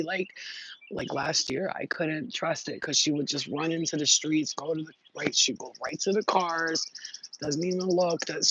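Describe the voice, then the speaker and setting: A man talks loosely to his live-stream viewers, giving them spiritual readings. He drifts from story to story in a rambling, excitable way and swears freely. His voice sits a little higher than most men's. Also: husky, highs cut off. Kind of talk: casual live-stream chat and intuitive reading. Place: outdoors near trees.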